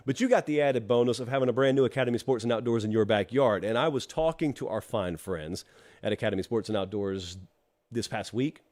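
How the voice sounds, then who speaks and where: A man's voice: medium pitch, a little mellow. A middle-aged man hosting a live college football talk show, congested from allergies, chatting with wry asides to viewers in the live chat. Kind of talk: live-streamed solo talk show with ad read.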